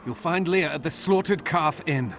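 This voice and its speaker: A man's voice: fairly high pitch, moderate pace, a bit bright, slight background noise. An actor playing a weary, commanding male town guard captain in a video game, urgent and direct. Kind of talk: video game dialogue voice acting.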